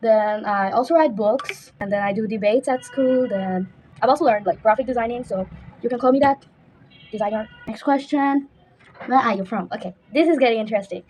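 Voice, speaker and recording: This is a teenage young woman. Her voice sits on the high side. She talks in a casual, chatty vlog style, and there is audible noise behind her. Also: brisk, a little mellow, low-quality recording. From Bangladesh, raised mostly in Dhaka. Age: teenager, fifteen. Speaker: YouTuber answering viewer questions.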